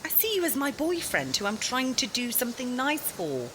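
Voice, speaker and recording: High, animated, demanding girlfriend played by a woman. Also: moderate pace, noisy background. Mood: insistent, defensive. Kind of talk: audio drama dialogue.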